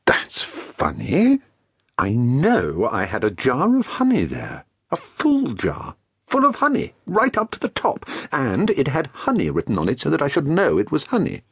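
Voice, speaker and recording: Husky, swooping, bumbling storybook bear. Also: male voice, mid-range voice, wildly swooping pitch, unhurried, no room sound, studio quality, exaggerated voice. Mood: puzzled, plaintive. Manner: ponderous, musing and hesitant. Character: Pooh, slow, dim, greedy, amiable honey-loving bear. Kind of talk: dramatised children's storybook acting.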